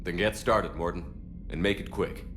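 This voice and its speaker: Man as a low-voiced, steady military commander. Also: moderate pace, music in the background, lightly acted. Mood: firm. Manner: terse, controlled, authoritative. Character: steady, no-nonsense male human military commander. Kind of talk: video game character acting.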